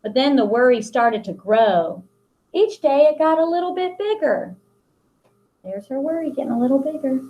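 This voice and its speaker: High, very animated American woman reading to children. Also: dull audio. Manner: simple, deliberately expressive phrasing addressed to children. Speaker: school principal reading to pupils. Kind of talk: picture book read-aloud for children.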